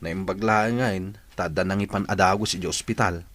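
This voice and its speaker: A man's voice: deep voice, moderate pace, fairly bright tone, dry recording. A man playing a chatty, hospitable village buddy in an Ilocano comedy radio drama, with an Ilocano accent. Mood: gossipy, concerned.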